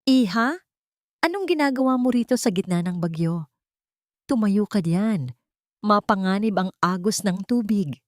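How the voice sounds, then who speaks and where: Woman acting as old wealthy gentleman, authoritative, concerned, emotional, melodramatic storybook delivery, dry recording. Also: medium pitch, expressive, unhurried, long pauses, no room sound, voice pushed up, natural voice. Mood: concerned, urgent. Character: old wealthy man, authoritative yet tenderly concerned, overcome. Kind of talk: Tagalog audiobook melodrama.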